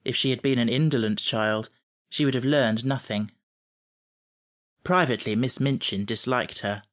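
A man reading a children's novel aloud as scripted audiobook narration. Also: mid-range voice.

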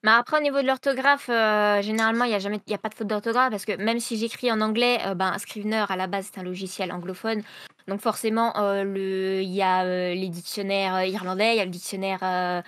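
A young woman explains her writing routine in an interview, hesitant and full of fillers as she searches for words. She talks from a room at home into a desk mic on a video call, at an ordinary middle pitch. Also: fairly even intonation, brisk, fairly bright tone, no room sound. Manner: hesitant, many fillers and restarts. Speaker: writer being interviewed. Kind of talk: casual explanatory interview answers.

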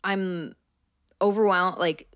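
A woman's voice: fairly low pitch, steady tone, takes it slowly, fairly bright tone, no room sound, clean studio sound. A woman in her twenties from Canada, co-hosting a casual podcast, rambling and self-deprecating with frequent giggles. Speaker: podcast co-host. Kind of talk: casual chat. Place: small apartment room, indoors. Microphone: handheld dynamic mic, close.

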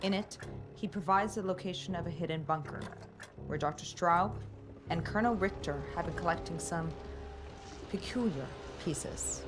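A woman's voice: on the low side, normal speed, music in the background, lightly acted. An actress playing a determined, serious female wartime intelligence officer in a game, formal and grave. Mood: grave, measured. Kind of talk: game cutscene acting.

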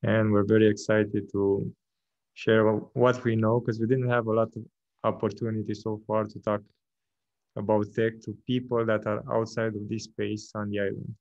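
Gravelly Romanian-accented man on a call. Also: fairly low pitch, little pitch movement, moderate pace, darkish tone, dry recording. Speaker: product manager guest. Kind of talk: online panel talk. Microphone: video call. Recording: dull dry video-call audio.

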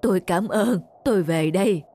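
A woman's voice: mid-range voice, moderate pace, dark tone, slight background noise, close to an everyday voice. A woman voicing a humble, grateful old street vendor in a moral-tale cartoon, speaking in a pleading, thankful manner. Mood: humble gratitude. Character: frail, humble, grateful old woman selling lottery tickets.

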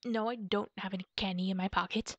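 A woman's voice: medium pitch, fairly even intonation, quick pace, fairly bright tone, breathy edge, dry recording, muffled recording. A woman playing a playful, sneaky, easily flustered girlfriend in a silly ASMR roleplay, with an over-innocent manner. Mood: nervous, defensive. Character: sneaky, flustered girlfriend who loves candy.

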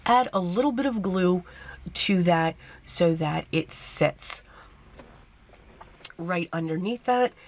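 Woman, low-pitched and hoarse, chatty craft-tutorial voice-over, fillers and asides. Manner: chatty step-by-step explaining with fillers. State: losing her voice, hoarse. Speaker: card-making host.

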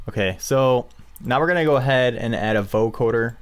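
A man's voice: medium pitch, brisk, clear, resonant tone. A young man giving a casual, informal music production tutorial as a screencast voice-over.

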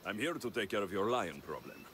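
An actor with a Greek accent playing a confident, wry ancient Greek mercenary in a video game, dry and measured. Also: male voice, on the low side, animated, a bit bright, slight background noise. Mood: earnest.

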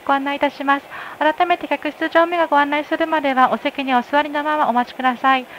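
A woman's voice: sits high, steady tone, quick pace, fairly bright tone, low-quality recording. A woman making a formal inflight announcement as a flight attendant over a cabin PA. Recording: cabin PA with faint noise. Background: cabin noise.

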